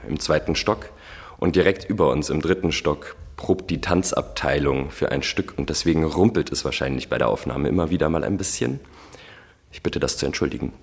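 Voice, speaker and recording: Guy early 30s, super deep voice kinda husky, reflective interview talk, long winding sentences. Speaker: acting student.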